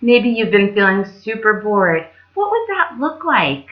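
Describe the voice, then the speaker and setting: A woman's voice: medium pitch, big pitch swings, measured pace, lo-fi sound. A woman telling stories to children as a library storyteller, in a casual style.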